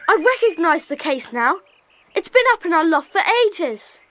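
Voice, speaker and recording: A woman playing a brisk, earnest schoolboy who leads a band of child detectives in a children's audio drama. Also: very high voice, sing-song, a little hiss or hum. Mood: eager, investigating. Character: Peter, bossy schoolboy leader of child detectives.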